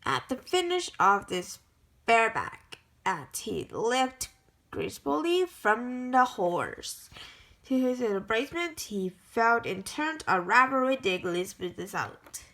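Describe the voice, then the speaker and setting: Slow, bright-voiced woman reading a storybook. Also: on the high side, big pitch swings. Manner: careful, halting reading with frequent self-corrections. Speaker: storybook reader. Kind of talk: reading a picture book aloud.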